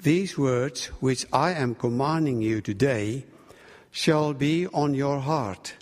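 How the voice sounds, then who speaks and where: A South African man with an Afrikaans accent speaks as a guest preacher. His delivery is slow and measured with pauses, at a medium pitch. The sound carries the long reverb of a hall. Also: a little mellow. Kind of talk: sermon with prayer and scripture reading. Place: large reverberant room.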